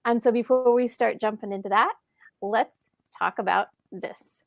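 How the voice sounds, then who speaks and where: A woman's voice: a little high, normal speed, creaky, dry recording. A woman hosting a podcast, welcoming her guest in an upbeat way. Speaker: podcast host. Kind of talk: podcast interview.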